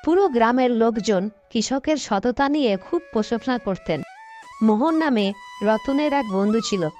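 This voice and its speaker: A woman narrating a cartoon folktale in a scripted storytelling manner. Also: a little high. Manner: storytelling, expressive.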